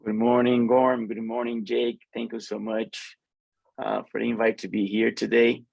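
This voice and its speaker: Gravelly Brazilian-accented man, hesitant. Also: mid-range voice, monotone, moderate pace, darkish tone, no echo, dull audio. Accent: Brazilian Portuguese. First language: Portuguese. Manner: hesitant, many fillers and restarts. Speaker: guest remediation specialist. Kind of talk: webinar talk.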